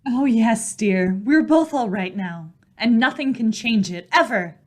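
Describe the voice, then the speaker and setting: Woman acting adoring wife, old-time radio mystery, highish lilting voice, slow lingering delivery, dreamy, affectionate. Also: expressive, darkish tone. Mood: tender, relieved.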